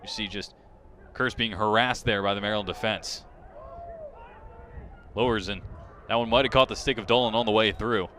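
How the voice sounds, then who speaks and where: A man's voice: on the low side, normal speed, pauses often. Male play-by-play announcer over faint background noise. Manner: excitement rises sharply on big plays. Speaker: play-by-play sports commentator. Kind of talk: live sports play-by-play commentary.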